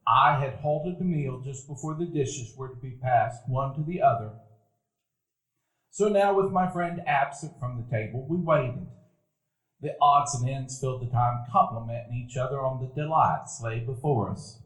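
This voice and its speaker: Man as a reflective narrator, grown man remembering boyhood, measured telling, echoey live stage. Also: mid-range voice, natural voice. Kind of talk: stage radio play narration. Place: dinner theater stage before a live audience.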